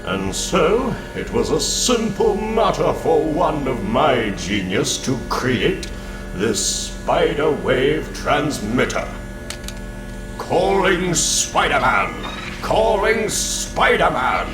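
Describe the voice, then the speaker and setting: A man's voice: a little high, sing-song, slow pace. A man voicing an arrogant, scheming supervillain in a comic book dramatic reading, pompous, theatrical and cold. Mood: gloating, commanding. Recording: roomy echo under loud background music.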